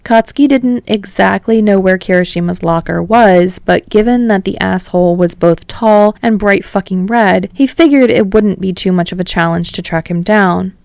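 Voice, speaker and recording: A woman's voice: medium pitch, moderate pace, creaky, few pauses, darkish tone, dry recording. A woman reading scripted fiction aloud as a narrator.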